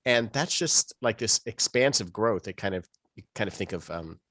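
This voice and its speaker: Male podcast co-host, low register, dry close sound, loose rambling delivery with trailing asides. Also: expressive, moderate pace, fairly bright tone, no room sound. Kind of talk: casual podcast discussion.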